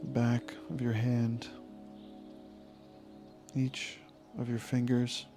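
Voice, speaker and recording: A man leading a guided meditation in an informal, soothing way, with unhurried phrases and long pauses. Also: mid-range voice, monotone, over music. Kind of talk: guided meditation, yoga nidra body scan.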